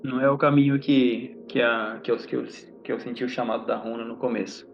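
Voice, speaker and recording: A man's voice: medium pitch, fairly even intonation, a little fast, lo-fi sound. A man playing a tabletop game, speaking in a hesitant, questioning way. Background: background music.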